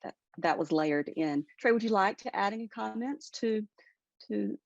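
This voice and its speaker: An American woman moderating a book discussion with deliberate, formal phrasing. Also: mid-range voice, animated, gravelly, dry recording, highs cut off.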